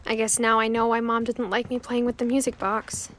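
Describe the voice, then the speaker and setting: A woman's voice: on the high side, little pitch movement, a little fast, a bit bright, a little hiss or hum, close to an everyday voice. A young woman with an American accent playing a thoughtful teenage girl who narrates her family's past in a wry, understated, reflective way for a game. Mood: wistful, wry.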